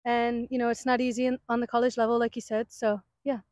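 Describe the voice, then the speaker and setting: A woman's voice: on the high side, flat delivery, quick pace, darkish tone. A young woman, a college soccer player, talking casually in a post-game interview on a field at night, filling with "like" and "you know". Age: young adult.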